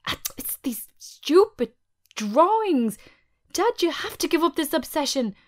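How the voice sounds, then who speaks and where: A woman's voice: sits high, big pitch swings, unhurried, pitched above the performer's own voice. A woman voicing a worn-down adult daughter who cares for her father, emotional and pleading, in a dramatic script reading. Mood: alarmed, exasperated. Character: Olivia, adult daughter and carer, strained, loving, worn down.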